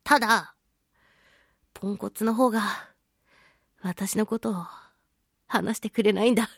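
A woman hosting a casual solo radio talk show, speaking in an expressive, self-deprecating way with drawn-out exclamations. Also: medium pitch, vocal fry, no room sound, clean studio sound. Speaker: voice actress and singing event MC.

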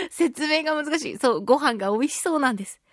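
A woman's voice: high voice, lively intonation, brisk, a bit bright, no echo, clean studio sound. A woman hosting a radio talk show alone, chatting informally and thinking aloud in a giggly manner. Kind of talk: casual chatty radio monologue.

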